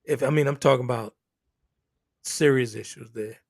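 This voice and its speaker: A man's voice: medium pitch, normal speed, vocal fry, dry recording, clean studio sound. A man co-hosting a live-streamed chat, laid-back and affirming as he greets viewers.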